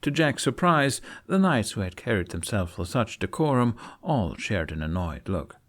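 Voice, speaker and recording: A man reading a story aloud as narrator in an expressive audiobook style. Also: fairly low pitch, moderate pace.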